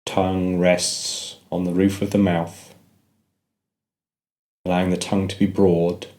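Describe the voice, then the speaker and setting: Male meditation guide, low-pitched voice, gentle measured delivery with pauses. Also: little pitch movement.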